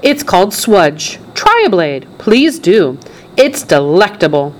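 A woman voicing an excitable, showy male chocolate factory owner in a storybook, proud and gushing with emphatic delivery. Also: medium pitch, takes it slowly. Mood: delighted, proud.

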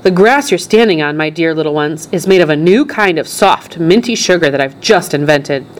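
Lady doing an eccentric chocolate factory boss guy from a storybook, super excitable, proud, voice leaping all over. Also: sits low, moderate pace. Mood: delighted, proud. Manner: exclamatory, emphatic, gushing.

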